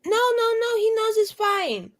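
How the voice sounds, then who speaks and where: A woman's voice: very high voice, big pitch swings, normal speed. A woman playing a tabletop roleplaying game, bantering in character in a playful, argumentative way. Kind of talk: casual in-character improvised banter. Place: online group stream.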